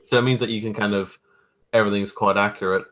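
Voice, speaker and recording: A man's voice: on the low side, steady tone, quick pace, fairly bright tone. A man chatting casually as an interview guest, informal, wry and self-deprecating about his own work as a graphic artist.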